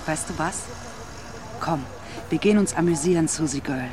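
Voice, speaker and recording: An actress playing a bold, playful female fast-food worker who coaxes eagerly in a radio drama, with music underneath. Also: deep voice, natural voice. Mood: playful, coaxing.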